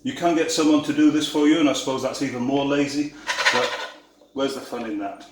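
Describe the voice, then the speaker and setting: A man with a Yorkshire accent giving a relaxed cooking demonstration in his home kitchen, easygoing and self-deprecating as he works. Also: medium pitch, a little fast, a little hiss or hum. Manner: easygoing, self-deprecating, talks while working. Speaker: cooking video host. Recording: echoey kitchen with clattering dishes.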